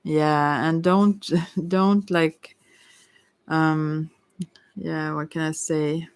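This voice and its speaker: A tarot reader giving an informal ASMR reading in a hesitant, rambling way with many pauses. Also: mid-range voice, flat delivery, clean tone, no room sound. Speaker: Ayurveda therapist.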